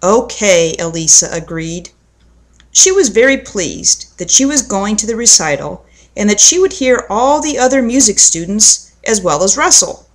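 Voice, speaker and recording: Woman, storyteller, mid-pitched, scripted children's story narration. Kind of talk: children's story read aloud.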